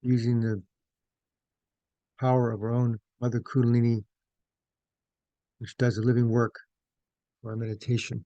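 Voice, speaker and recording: A man with a dark, relaxed voice guides a meditation in measured, gentle phrases. He leaves pauses between short instructions. The sound is dry and close to the room-free, though a little dull and lo-fi. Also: mid-range voice, no echo.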